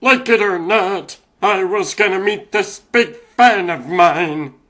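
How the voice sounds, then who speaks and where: A man's voice: sits high, takes it slowly, bright, forceful tone. A man voicing a young mouse basketball star, telling his story in wry, slangy scripted narration for a comic dub. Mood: wry, reflective.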